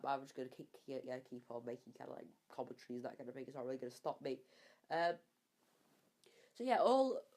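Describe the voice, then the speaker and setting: A young gaming commentator talks through his review in a loose, rambling way. He fills his sentences with "uh" and "like" and doubles words for emphasis. A cold and a slightly sore throat colour his voice. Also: fairly high pitch. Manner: rambling, many fillers, repeats words for emphasis. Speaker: gaming YouTuber reviewing maps. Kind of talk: casual game review commentary.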